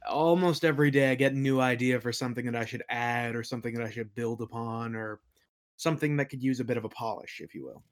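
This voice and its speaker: Male game master as interview guest, medium pitch, conversational, dry and modest joking. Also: steady tone, quick pace.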